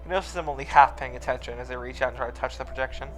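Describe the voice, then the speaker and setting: A man's voice: medium pitch, very fast, fairly bright tone, low-quality recording. Man reading a visual novel over music. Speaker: reader of a visual novel let's play. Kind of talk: story narration with casual asides. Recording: music under the voice.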